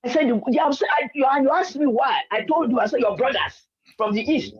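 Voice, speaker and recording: A woman's voice: sits high, animated, brisk, husky. Insistent Nigerian woman on a muffled line. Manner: insistent, talks over the host, repeats herself. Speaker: caller. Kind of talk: heated political call-in argument.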